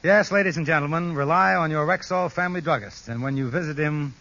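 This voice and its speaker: A man's voice: a little high, fast, gravelly, bright, forceful tone. A man reading scripted sponsor announcements in 1940s radio style. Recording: muffled vintage radio broadcast.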